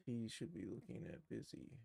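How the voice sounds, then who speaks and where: Guy doing a casual animation stream, lots of gravelly fry, close dry sound, kinda mumbling to himself as he works. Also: medium pitch, expressive, moderate pace, mellow, no echo. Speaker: animator livestreaming a tutorial. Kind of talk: casual screen-work commentary, thinking aloud.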